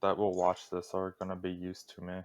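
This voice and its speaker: A young man co-hosting a casual podcast, talking in a droning, flat voice with little emotion and going off on rambling tirades. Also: deep voice, a little fast. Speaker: podcast co-host.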